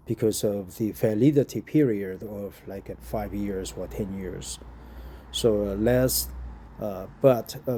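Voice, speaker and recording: A Korean-accented man speaks English slowly and deliberately, reading a travel article aloud and often pausing on "uh". His voice is dark and mellow, and he sits outdoors on a bench in a courtyard. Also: on the low side. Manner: hesitant, frequent uh fillers, careful deliberate reading. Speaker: vlogger. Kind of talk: casual talk and reading aloud.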